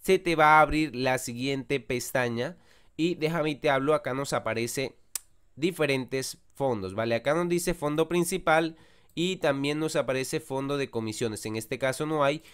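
A young man narrates a screen-recorded walkthrough as the channel host, guiding the viewer click by click. His voice is smooth and clear at a middle pitch. He keeps it chatty and often checks in with the viewer. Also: moderate pace, fairly bright tone.

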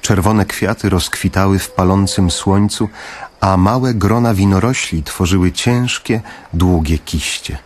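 A man narrating a literary radio drama in the first person, in a measured, somber manner. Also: deep voice, a little hiss or hum.

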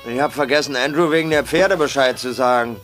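A man's voice: medium pitch, fairly quick, fairly bright tone, soft background music, lightly acted. An actor playing a jovial, condescending male farmer of the 1850s in an audio drama. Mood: breezy, patronizing. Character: breezy, patronizing male plantation farmer of the 1850s. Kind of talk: German audio drama acting.

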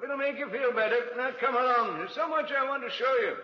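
A man playing a brisk, fussy, eager older explorer uncle in a 1940s radio drama. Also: extremely high pitch, over loud music. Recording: dull 1940s radio recording.

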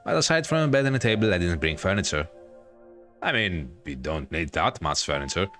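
A man hosting a gaming video, reading a visual novel aloud in a dramatic, drawn-out storytelling way with casual commentary. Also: low-pitched, very animated, a bit bright, faint music behind.

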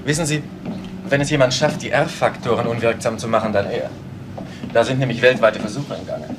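A man's voice: mid-range voice, fairly quick, background noise, natural voice. A man playing an earnest, loyal scientist in a German crime radio drama. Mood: earnest, defensive. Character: earnest male scientist, loyal and admiring colleague.

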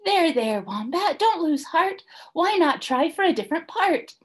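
A woman's voice: very high-pitched, very animated, measured pace, pitched above the performer's own voice, heavily acted. A woman voicing a kindly, encouraging little animal friend in a storybook, with a singsong delivery. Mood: consoling, encouraging. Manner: singsong, consoling.

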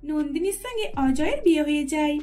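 A woman's voice: high voice, lively intonation, fast, dark tone. A woman narrating a scripted cartoon story.

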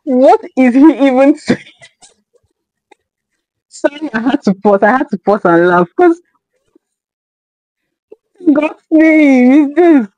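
A woman's voice: high voice, a little mellow, no echo, rough recording. A young woman hosting a reaction video who chats casually and reacts with incredulous, laughing asides, talking into a headset in a small room.

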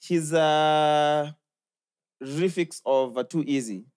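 A man's voice: on the high side, takes it slowly, a little mellow, clean tone. A young man with a Kenyan accent chatting casually as a podcast co-host, mixing in Swahili and slang, close on a studio mic. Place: small furnished living-room style studio. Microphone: close podcast mic on boom arm.

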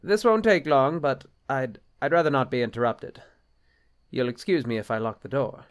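A man's voice: medium pitch, moderate pace, smooth, lightly acted. Stiff, anxious bishop, played by a man. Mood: exasperated. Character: stiff, exasperated, anxious male bishop and husband. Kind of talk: film-adaptation audio drama acting.

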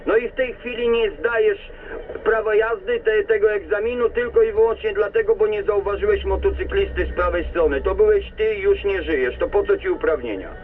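High-pitched male driver, sweary and preachy, distant tinny CB radio. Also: a little fast. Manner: profane, lecturing. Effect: CB radio transmission. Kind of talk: ranting casual CB radio chat. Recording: narrow, noisy CB radio in a vehicle.

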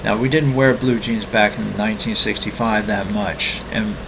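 A man in his sixties, an artist walking through his website, talking casually with many ums, pauses and restarts. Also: fairly low pitch, steady tone, vocal fry, background noise. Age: 60s. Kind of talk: casual screen-recorded walkthrough.

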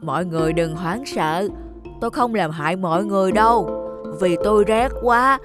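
A big talking bear from a cartoon fairy tale, acted by a woman. He is an enchanted prince, polite and earnest, firm with wrongdoers. Music plays clearly underneath. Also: medium pitch, normal speed, close to an everyday voice. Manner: earnest, polite, stern with thieves. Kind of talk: animated fairy-tale dialogue.

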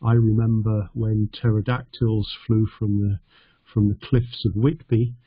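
A middle-aged man from North Yorkshire, teaching an online lesson over slides in a halting, conversational way with a self-deprecating humour. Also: fairly low pitch, little pitch movement, slightly rough, dry recording, narrow, tinny audio. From North Yorkshire, England. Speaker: English teacher.

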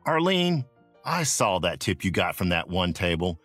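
An actor playing a cocky, playful 1920s bootlegger with an American accent in an audio drama. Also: male voice, low-pitched, very animated, normal speed, fairly bright tone, exaggerated voice. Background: music.

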